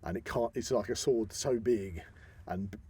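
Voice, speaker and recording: A man's voice: on the low side, measured pace, dark tone, husky. A man co-hosting a podcast, chatting casually about films and often interrupting himself as he rambles. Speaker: podcast co-host; actor. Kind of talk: casual film discussion.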